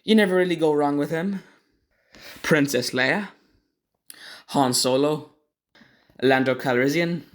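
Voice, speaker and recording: A man hosting a casual ranking commentary in a hesitant, rambling, opinionated way. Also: fairly high pitch. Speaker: video host ranking game voice actors.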